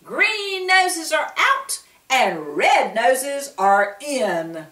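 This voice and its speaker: A brash wooden storekeeper, as a woman reads him aloud, bellows his pitch up high and hard, all swooping sales patter. The voice rings in a roomy space, picked up from a little way off the mic. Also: sing-song, drawn-out words, bright, forceful tone, exaggerated voice. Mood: hawking. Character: loud pushy male wooden shopkeeper hawking paint. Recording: roomy room, distant mic.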